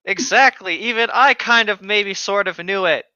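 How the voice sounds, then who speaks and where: A man's voice: high voice, normal speed, bright, forceful tone, no room sound, dull audio. A man co-hosting a podcast, reading a novel aloud and breaking off for blunt, irreverent joking commentary. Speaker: podcast co-host.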